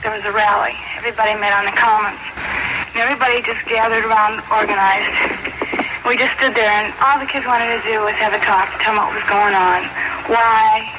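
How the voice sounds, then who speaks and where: A woman's voice: mid-range voice, steady tone, a little fast. A woman with an American accent giving a spontaneous eyewitness account. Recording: very noisy, dull old radio tape.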